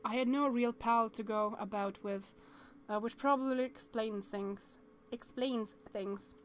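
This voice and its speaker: A woman's voice: on the high side, steady tone, measured pace. A woman streamer reading a visual novel aloud, stumbling over words and chatting to viewers between passages.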